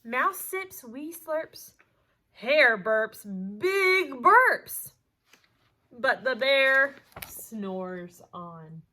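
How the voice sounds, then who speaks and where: A woman's voice: high-pitched, extremely slow. A woman teaching young children, reading a picture book expressively and talking to them with questions. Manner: asks listeners questions, animated storytelling delivery.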